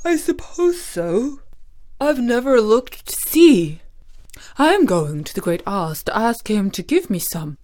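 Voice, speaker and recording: A woman's voice: on the high side, big pitch swings, measured pace, darkish tone, pitched above the performer's own voice. A woman voicing a curious male scarecrow stuffed with straw in a storybook reading. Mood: matter-of-fact.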